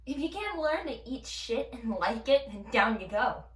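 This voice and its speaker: A woman's voice: fairly high pitch, lively intonation, normal speed, fairly bright tone. A woman performing a monologue as a bitter, coarse, worn-out camp follower who talks crudely and sardonically. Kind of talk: audition monologue. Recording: echoey room, distant mic.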